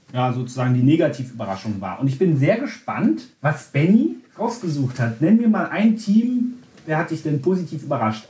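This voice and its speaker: A man's voice: fairly high pitch, mellow, reverberant. A man born in Berlin, hosting a casual football podcast, talking in a chatty way with frequent restarts and fillers. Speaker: podcast host.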